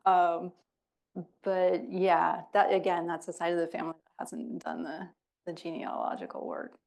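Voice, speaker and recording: A woman's voice: lowish voice, moderate pace, creaky, darkish tone. A middle-aged woman presenting at a library talk, chatty, laughing easily and trailing off with fillers. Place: public library event room.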